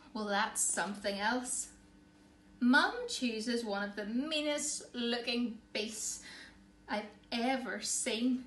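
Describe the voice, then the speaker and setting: Unhurried, theatrical woman storyteller. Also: high voice, fairly bright tone. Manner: theatrical, emphatic. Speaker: storyteller reading a picture book. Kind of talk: expressive read-aloud for children. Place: small living room, indoors. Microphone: camera or phone a short distance away. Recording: echoey room, faint background noise.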